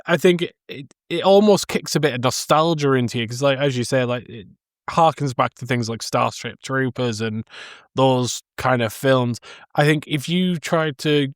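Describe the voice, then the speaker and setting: A man's voice: medium pitch, no room sound. Man in his thirties, very dry close sound. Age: 30s. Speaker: podcast co-host. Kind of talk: casual film discussion.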